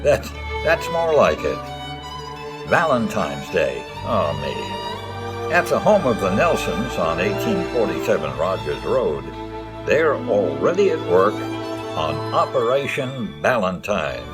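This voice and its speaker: A man's voice: deep voice, very animated, slow pace, heavily acted. A man playing a showy old-time radio announcer, theatrical and emphatic. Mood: wry, theatrical. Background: music.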